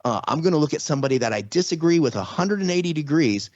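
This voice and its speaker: A man's voice: on the high side, lively intonation, fast, darkish tone, no room sound. Middle-aged guy on a podcast, earnest, explains stuff, restarts a lot, kinda muffled audio. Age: 40s or 50s. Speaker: podcast guest. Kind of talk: casual interview talk. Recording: slightly dull lo-fi audio.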